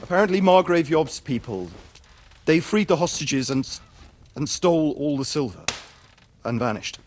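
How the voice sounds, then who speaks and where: Man as stammering, slightly high-voiced royal messenger. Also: lively intonation, normal speed, slight background noise, natural voice. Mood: fearful. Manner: hesitant, stammering. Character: nervous, deferential male royal messenger. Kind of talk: video game cutscene acting.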